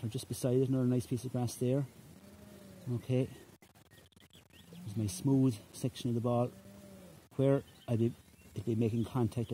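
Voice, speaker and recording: A middle-aged man from Ireland coaches hurling on a large country lawn. His voice is mellow and mid-pitched, and he checks in with a casual "okay?" between halting phrases. A phone at ground level catches him along with a faint hum of outdoor ambience. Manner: relaxed, repeats "okay?" often, hesitant fillers. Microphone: phone camera at ground level.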